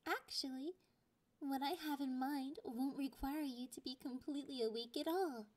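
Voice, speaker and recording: A woman voicing a clingy, possessive young catgirl girlfriend in an intimate ASMR roleplay, taunting in drawn-out phrases that slip into breathy whispers. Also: high voice, natural voice. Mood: sweet, playful.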